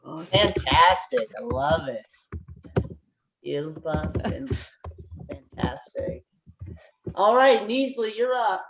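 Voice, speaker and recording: A woman hosting an online meeting over a video call, chatty and halting, with lots of ums and restarts. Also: mid-range voice, lively intonation, reverberant, noisy background. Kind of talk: casual video-call facilitation.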